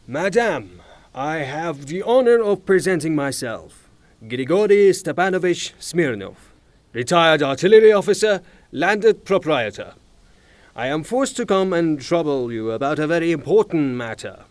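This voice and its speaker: Man playing a blustering high-pitched irascible landowner. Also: animated, measured pace, no room sound. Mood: formal, pressing. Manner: emphatic, formal address breaking into exasperated outbursts. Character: blustering, irascible middle-aged landowner, retired artillery officer. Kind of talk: theatrical audio drama acting.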